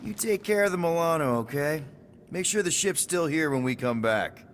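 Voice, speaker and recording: A man's voice: on the high side, lively intonation, normal speed, a little hiss or hum, natural voice. An actor playing a casual, joking male space captain.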